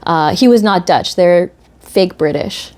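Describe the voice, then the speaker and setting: A woman in her late twenties, a musician raised in Florida, chatting as a podcast guest in a relaxed, agreeable way. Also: lowish voice, measured pace. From born in South Africa, raised in Florida. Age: late 20s. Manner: relaxed, agreeable, many "yeah"s. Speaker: guest, electric violinist. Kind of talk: casual interview.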